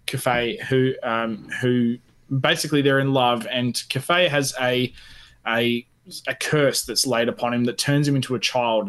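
A man's voice: medium pitch, a bit bright. A man co-hosting a gaming podcast, telling things in a casual, earnest, rambling and enthusiastic way. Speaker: podcast co-host. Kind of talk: casual gaming chat, anecdotal.

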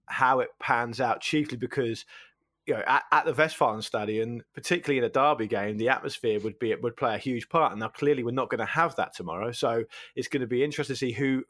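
A man with a medium-pitched voice talks football on a podcast. He is emphatic and discursive, building his points conversationally. The sound is close and very dry, with no room on it. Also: brisk, fairly bright tone, no room sound. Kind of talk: conversational football analysis and banter.

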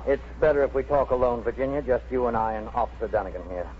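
An actor playing a probing doctor in a 1950s radio drama, careful and reassuring. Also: male voice, medium pitch, fast, lightly acted. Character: measured, probing male doctor. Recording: muffled, hissy 1950s radio broadcast.

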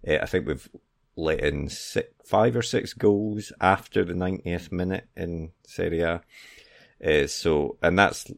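Very deep, gravelly-voiced man. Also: unhurried. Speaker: football podcast co-host. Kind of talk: casual football chat.

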